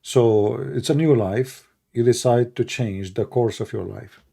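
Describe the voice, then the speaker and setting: A middle-aged man with a gravelly, dark-toned voice and an Arabic accent. He speaks casually, pausing often with fillers and restarting his sentences. His opinions come out blunt and unhedged. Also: medium pitch. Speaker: livestream debate host. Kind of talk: casual unscripted talk answering questions.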